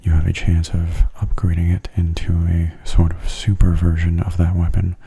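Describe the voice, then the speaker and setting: Soft, breathy low-voiced man, very mellow. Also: completely flat delivery. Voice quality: soft, breathy, often half-whispered. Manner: gentle, unhurried, low-key. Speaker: gamer giving gameplay commentary. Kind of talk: soft-spoken ASMR gameplay commentary.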